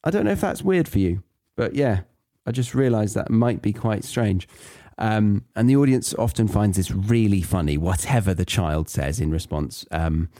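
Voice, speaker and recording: A man's voice: fairly low pitch, moderate pace, warm tone, no room sound, clean studio sound. A British man hosting a podcast, chatting casually and explaining things to listeners. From Britain. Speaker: podcast host teaching English learners. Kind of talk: casual solo podcast talk.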